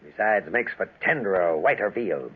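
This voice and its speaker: A folksy country butcher, sly and leering, with a faint menace under his flattery. His voice is husky and swoops up and down. It comes through a muffled, tinny 1940s radio drama recording. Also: low voice, moderate pace. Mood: oily, jovial.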